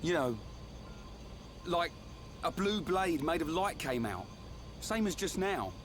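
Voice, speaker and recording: An actor playing a blunt, brash young British soldier in a video game cutscene, talking in a colloquial, blokey way. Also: male voice, fairly high pitch, big pitch swings, slow pace, slight background noise, full character voice. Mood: puzzled, casual. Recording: game music under the voice. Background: loud background music.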